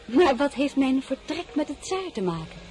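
An actress playing a proud, principled foreign-born crown princess in a radio drama, speaking with formal, deliberate dignity. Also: female voice, sits high, very animated, warm tone, faint music behind. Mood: questioning, composed. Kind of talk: dramatized novel, radio play acting. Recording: clearly noisy recording.

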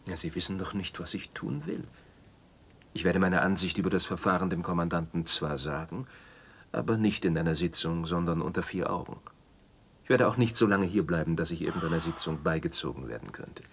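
An actor playing a principled, reserved traveller in a radio play, speaking formally and firmly in a husky voice. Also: male voice, low voice, fairly bright tone, heavily acted. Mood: firm, measured. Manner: measured, formal, firm. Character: principled, reserved male traveller opposing a cruel procedure. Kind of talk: German radio play acting.

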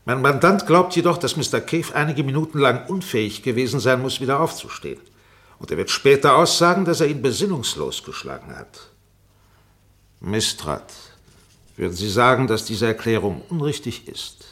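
An actor playing a sharp, sarcastic defense barrister in a radio courtroom drama, pressing, insinuating and commanding. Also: male voice, mid-range voice, animated, moderate pace. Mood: coolly probing. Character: sharp, sarcastic male defense barrister cross-examining a witness.

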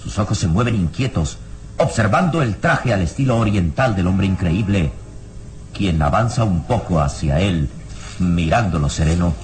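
A man's voice: sits low, lively intonation, normal speed, a little mellow. A man narrating a radio drama serial in a dramatic, scripted style.

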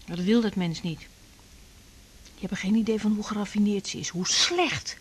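A woman's voice: medium pitch, normal speed, slightly rough, close to an everyday voice. An actress playing a blunt, emotional young woman doctor who is hot-tempered and hurt, in a naturalistic radio drama. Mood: bitter, angry.

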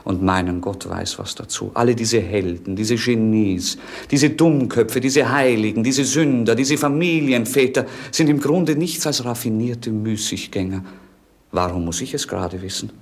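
An actor playing a melancholy, bored young prince with witty irony, in a theatrical 1950s German radio drama style. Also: male voice, mid-range voice, moderate pace, natural voice. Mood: melancholy, scornful. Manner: theatrical, ironic, declamatory. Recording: 1950s radio play recording.

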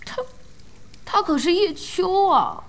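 A woman playing an outspoken, emotional young gaming fan in a radio drama, earnest and expressive. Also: very high voice, takes it slowly, slight background noise, full character voice. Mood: upset. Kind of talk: Chinese radio drama acting. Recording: music behind the voice.

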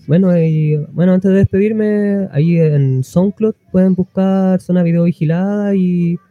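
A Chilean man with a fairly high-pitched voice, speaking as a guest in a casual interview. He hesitates and searches for words. The recording is dry but narrow and tinny, like a phone line. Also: steady tone, measured pace, no echo. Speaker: interview guest from an independent music label. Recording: thin phone-like band-limited sound.

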